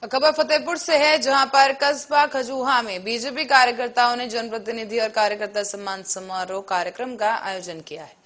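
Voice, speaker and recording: A woman anchors a TV news bulletin. Her voice sits fairly high, and she delivers items in a formal bulletin style. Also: steady tone, normal speed, few pauses, fairly bright tone. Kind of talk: Hindi TV news bulletin reading.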